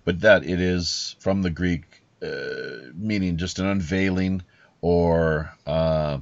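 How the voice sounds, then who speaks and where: Deep voiced guy hosting a podcast, kinda halting, muffled lo-fi dry audio. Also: vocal fry, no room sound. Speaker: podcast host. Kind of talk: casual conversational interviewing. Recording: dull lo-fi audio.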